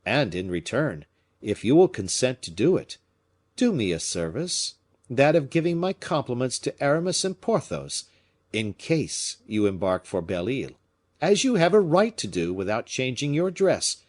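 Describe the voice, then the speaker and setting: A man playing a shrewd, lively musketeer captain. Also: medium pitch, voice pushed up. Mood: earnest, hinting. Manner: measured, pointed, formally polite. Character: shrewd, courteous musketeer captain hinting slyly, male. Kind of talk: audiobook character acting.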